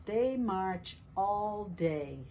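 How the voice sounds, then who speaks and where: A woman reading a picture book aloud to young children in a deliberate, teacherly way, asking questions as she goes. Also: mid-range voice, lively intonation, mellow, lo-fi sound, a little hiss or hum. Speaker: children's storytime reader at a library.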